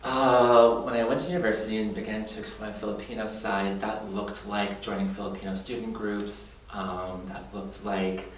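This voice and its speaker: A man's voice: lowish voice, flat delivery, normal speed, hardly any pauses. Young man, distant and echoey. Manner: earnest, thoughtful, laughs easily. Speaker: oral history interviewee. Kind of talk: reflective personal oral history. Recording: roomy echo, distant mic, faint noise.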